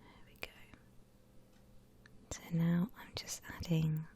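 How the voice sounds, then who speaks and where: A woman whispering breathily and gently as she hosts an unhurried ASMR art tutorial. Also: deep voice, completely flat delivery, slightly rough. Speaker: host of an ASMR drawing channel. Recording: faint background noise.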